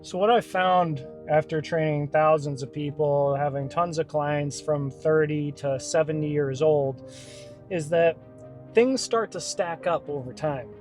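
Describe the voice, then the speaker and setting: A man in his thirties with a slightly higher voice speaks as a fitness coach, straight to camera in a blunt, energetic, conversational way. He drops casual swearing into his advice. Faint music sits softly behind him. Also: unhurried. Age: 30s. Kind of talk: casual direct-to-camera talk.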